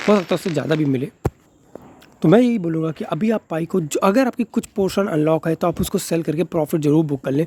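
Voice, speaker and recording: A man's voice: a little high, a little mellow, slightly rough. A man with a sore throat, casually explaining things to viewers in a chatty way, mixing English into his talk with many fillers. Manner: Hindi-English mix, chatty, frequent fillers. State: sore throat, speaking softly. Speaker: crypto video creator.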